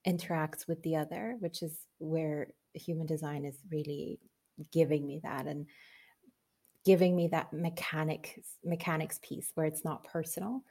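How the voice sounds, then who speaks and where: Earnest, laughing woman podcast guest. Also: low voice. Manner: animated, earnest, laughs often, many fillers. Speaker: podcast guest, business coach. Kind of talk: casual conversational interview.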